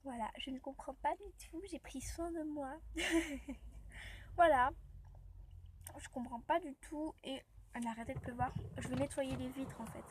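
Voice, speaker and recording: A high-pitched woman talks casually to her viewers from inside a car, her throat sore from a cold. She rambles and chats loosely, story after story. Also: normal speed, pauses often, slight background noise. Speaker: vlogger. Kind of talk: casual vlog chat. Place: inside a parked car, rain outside.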